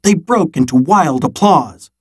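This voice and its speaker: A man reading a children's story aloud in a dramatic, deliberate way, with pauses. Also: a little high, lively intonation, breathy edge, dry recording, clean studio sound. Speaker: storybook reader. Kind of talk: scripted story read-aloud.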